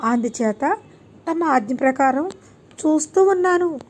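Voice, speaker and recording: A woman's voice: high-pitched, lively intonation, a little slow, darkish tone, pitched above the performer's own voice. A woman voicing a dim-witted but obedient young male disciple, earnest and deferential, in a children's storybook tale.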